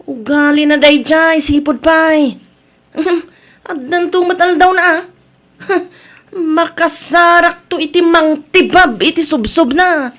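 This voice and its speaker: An actress plays a nosy village woman in a radio comedy drama. Her voice sits high and she draws her words out slowly, chatty and full of teasing. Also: fairly bright tone, full character voice. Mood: indignant, scheming.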